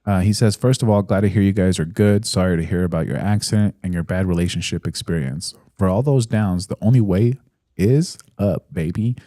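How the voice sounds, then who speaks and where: A man in his thirties with a very low, mellow voice co-hosts a casual podcast. He reads listener comments aloud in a relaxed, hesitant way, with fillers. The sound is dry and close. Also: a little fast, dry recording. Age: 30s. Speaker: podcast co-host.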